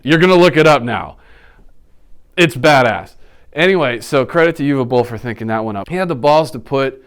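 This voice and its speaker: A man, chatting casually off the cuff in a slangy, animated manner as an interview guest. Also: mid-range voice, brisk, clear, resonant tone. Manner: animated, slangy, blurts sound effects. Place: small indoor office, seated.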